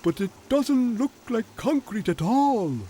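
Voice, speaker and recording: A man's voice: very high-pitched, expressive, takes it slowly, noisy background, voice pushed up, full character voice. A man voicing a naive, curious young talking trailer in a children's story, with an innocent, questioning way of talking. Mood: doubtful.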